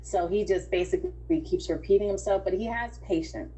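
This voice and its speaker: A woman's voice: mid-range voice, a little fast, dark tone, rough recording. A woman acting as casting director, explaining things in an organised way on an online audition call.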